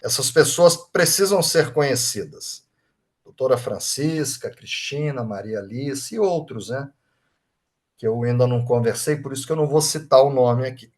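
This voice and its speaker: A man's voice: mid-range voice, moderate pace. A man with a Brazilian Portuguese accent, a Reiki master leading an online study group, reading out chat comments and thanking people by name in an informal way. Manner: warm, informal, often adds tag questions like "right?".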